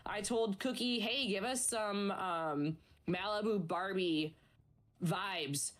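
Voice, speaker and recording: A woman's voice: medium pitch, takes it slowly. A woman casting an esports stream, chatting in a bubbly, upbeat way with her co-caster. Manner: bubbly, chatty, promotes merch. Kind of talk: casual esports stream commentary.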